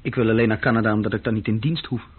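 A man's voice: lowish voice, steady tone, rapid-fire, breathy edge. An actor playing a restless young man telling of his adventures in a conversational radio-drama style. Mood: guarded. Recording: dull, lo-fi old radio recording.

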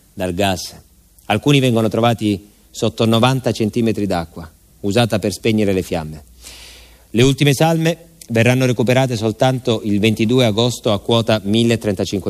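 A man giving solemn, measured, scripted documentary narration for radio theatre, with music behind him. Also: lowish voice, darkish tone. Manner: solemn, measured, deliberate phrasing. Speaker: actor-narrator.

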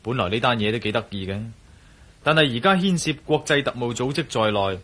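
A man's voice: mid-range voice, lively intonation, a little fast, pushed and bright. A man acting a confident, cool-headed adventurer in a radio drama, with a Hong Kong Cantonese accent. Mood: calm, resolute.

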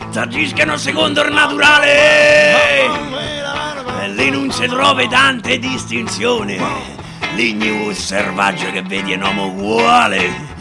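A man with a Roman accent, an actor reciting a dialect verse story in an expressive, dramatic radio-theatre style. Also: very high-pitched, vocal fry. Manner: expressive, dramatic, swinging from declaiming to hushed asides. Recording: guitar music under the voice. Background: acoustic guitar.

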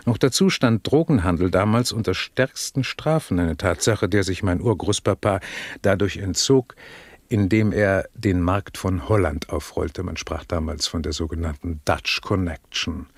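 An actor playing a pompous, self-satisfied drug tycoon being interviewed in a satirical radio play, speaking in a stilted, haughty, formal way. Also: male voice, low-pitched, normal speed, no room sound. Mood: proud.

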